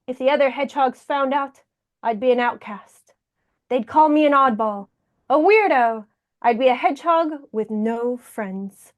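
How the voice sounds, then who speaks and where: A woman reading a picture book aloud as a dramatic male hedgehog with a secret, in a French accent. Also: on the high side.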